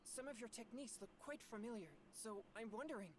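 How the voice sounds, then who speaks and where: A woman's voice: on the high side, fairly quick, background noise, lightly acted. An actress playing a polite, hesitant boy exorcist in a game trailer, courteous and prone to stammering. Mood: flustered. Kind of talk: game character trailer acting.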